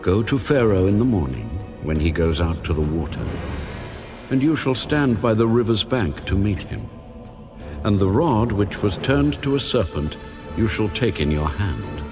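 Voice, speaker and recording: An actor playing God as a solemn, commanding male deity in a dramatised audio Bible, speaking deliberately. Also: low voice. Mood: stern command. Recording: music under the voice. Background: background music.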